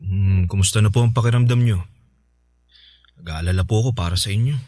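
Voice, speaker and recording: A man playing a gentle, devoted adult son in a radio drama, respectful, coaxing and affectionate. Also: lowish voice, brisk, dry recording, studio quality, close to an everyday voice. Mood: concerned, coaxing. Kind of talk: Tagalog radio drama acting.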